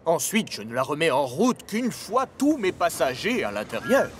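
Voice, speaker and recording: A man voicing an earnest, wistful railway zeppelin engine in a children's cartoon, speaking in a polite, puzzled, animated way. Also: a little high, moderate pace, slight background noise. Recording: music and vehicle sounds behind.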